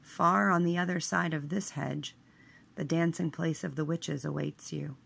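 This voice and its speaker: A woman with a low voice and a gravelly creak reads a guided meditation. Her delivery is very slow and measured, hushed at the ends of phrases. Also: fairly even intonation, slight background noise.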